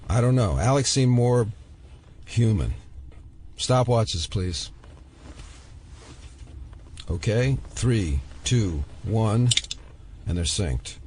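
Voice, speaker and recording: An actor playing a dry, dogged FBI investigator in an audio drama, with wry, understated delivery. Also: male voice, lowish voice, lively intonation, extremely slow, pauses often, darkish tone, a little hiss or hum. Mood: earnest.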